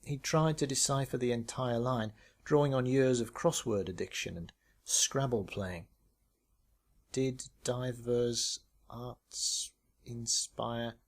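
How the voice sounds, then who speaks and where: Guy reading a short story aloud, middle pitched narrator voice. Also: measured pace.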